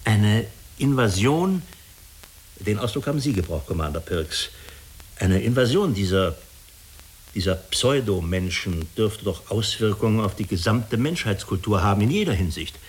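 A man playing a diplomatic, persuasive agency official in a German radio drama, speaking formally and deliberately with small hesitant pauses. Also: on the low side, expressive, close to an everyday voice. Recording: clearly hissy radio recording.

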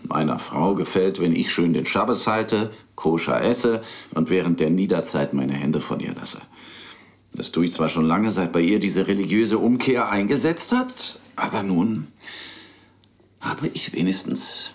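A man's voice: low voice, lively intonation, measured pace. An actor in a radio play playing an earnest, articulate married professional man with an ironic, emphatic manner. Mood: wry, zealous. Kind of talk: radio play dialogue and recollection.

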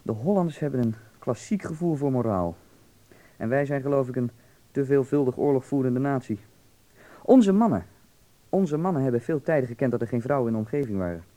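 Guy acting worldly young berlin man in a radio play, mellow voice, candid, pushes his point but reassuring. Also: mid-range voice, animated, brisk, natural voice. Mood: explaining, proud. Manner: earnest, persuasive, explaining patiently.

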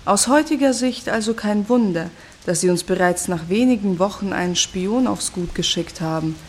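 A woman's voice: mid-range voice, normal speed, a little hiss or hum, natural voice. A woman playing an embittered former member of a self-sufficient rural commune in a reflective radio drama monologue. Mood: indignant, resigned.

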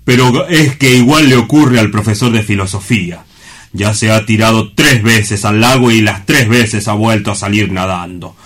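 A man's voice: lowish voice, moderate pace, a bit bright. An actor playing a gloomy, dutiful assistant in a radio drama, reporting to his superior in a dry, deferential, sombre manner. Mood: doubtful, sombre. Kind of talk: theatrical radio drama acting.

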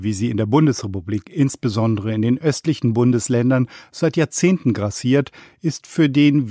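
A male radio reporter reading a scripted portrait narration in a composed, even manner. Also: mid-range voice, no echo, clean studio sound.

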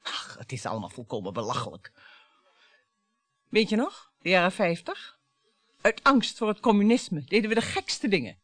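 A woman's voice: mid-range voice, sing-song, normal speed, pauses often, a bit bright, dry recording. A woman in a Dutch radio play acting a bitter, sarcastic wife who needles her husband in a theatrical, storytelling way. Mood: bitter, sardonic.

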